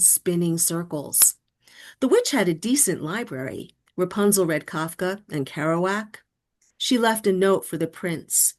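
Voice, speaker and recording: A woman giving a measured, deliberate literary reading of a narrative poem. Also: medium pitch. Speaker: poet reading own work.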